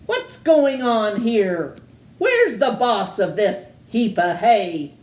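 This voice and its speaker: A woman's voice: on the high side, sing-song, slow pace, darkish tone, echoey room. A woman doing a screeching grouchy witch. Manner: screeching, demanding. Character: grouchy hungry old witch, shrill and bossy.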